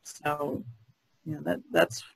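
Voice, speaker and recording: A woman's voice: slow pace, no echo, poor audio. A woman podcast panelist chatting casually in a conversation. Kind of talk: casual chat.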